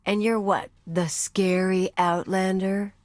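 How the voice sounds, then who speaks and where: A woman's voice: mid-range voice, fairly even intonation, slow pace, a little mellow, dry recording, lightly acted. An actress playing a tough, bitter woman anarchist in a video game, with a blunt, mocking manner. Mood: bitter sarcasm. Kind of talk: video game cutscene acting.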